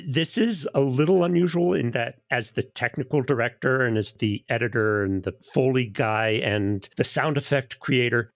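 A man's voice: mid-range voice, no echo, studio quality. A man speaking as a sound editor in a conversational, anecdotal interview, acting out his own remarks. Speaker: audio drama technical director and sound editor.

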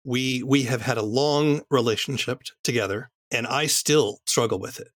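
Male podcast host: conversational interviewer, medium pitch, dry studio-like sound. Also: moderate pace, no room sound.

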